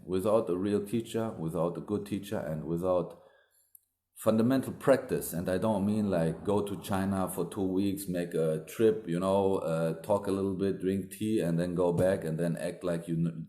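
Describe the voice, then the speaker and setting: A man's voice: low voice, warm tone. A man gives an earnest, emphatic talk as a Daoist teacher, repeating himself for stress, recorded up close on a phone camera indoors. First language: German. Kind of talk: livestream talk to viewers. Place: room indoors. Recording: echoey room.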